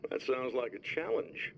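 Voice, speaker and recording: Radio dj, lively tone, dull faraway sound, faint hiss. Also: male voice, mid-range voice, takes it slowly, vocal fry, recorded from a distance, full character voice. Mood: amused. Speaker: radio host. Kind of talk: radio presenting.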